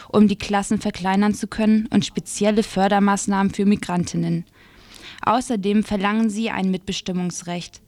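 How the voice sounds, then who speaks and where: A woman's voice: medium pitch, mellow, dry recording. A woman reading scripted news reports as a radio presenter. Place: dry radio studio.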